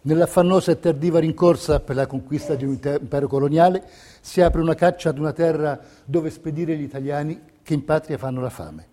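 A man narrating a scripted theatre piece as a measured, pointed storyteller. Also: a little high, steady tone, dark tone. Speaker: stage narrator.

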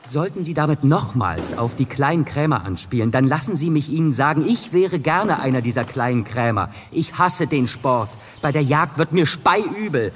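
A man's voice: fairly high pitch, lively intonation, moderate pace, few pauses, big-room sound, a little hiss or hum, natural voice. An actor playing an indignant, bookish, proudly unathletic man in a German radio drama, prickly and argumentative. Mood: prickly defiance.

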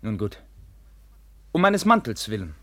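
An actor playing a proud, blunt, idealistic young Templar knight in a 1950s German radio drama, declaiming formally and intensely. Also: male voice, lowish voice, huge pitch swings, measured pace, fairly bright tone, no room sound, full character voice. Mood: reserved, proud.

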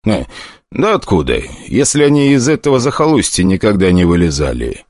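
A man voicing a cold, emotionless male demon hunter who speaks flatly like a machine. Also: fairly low pitch, brisk, dry recording. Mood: cold menace. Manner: flat, machine-like, dry menace.

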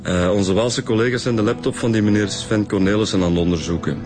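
A man's voice: on the low side, fast talker, over music, close to an everyday voice. A man with a Flemish accent playing a folksy, sardonic police inspector in a radio drama, colloquial and politely wry. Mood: matter-of-fact.

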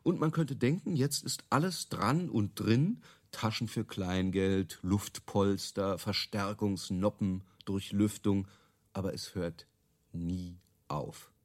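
A man's voice: fairly low pitch, slow pace. An actor playing a brash, self-assured marketing man in a radio drama, emphatic, opinionated and dismissive. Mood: enthused pitching. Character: brash, self-assured male product-marketing creative selling gadget stories. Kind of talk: radio drama dialogue in interview form.

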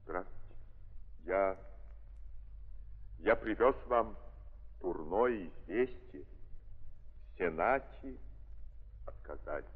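An actor playing an agitated, determined Russian nobleman, speaking haltingly and politely in a 1930s theatrical radio drama style. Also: low-pitched, lively intonation, breathy edge, heavily acted. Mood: uneasy, brusque. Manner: halting, repeats words, formally polite. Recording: muffled, echoing 1930s archive recording.

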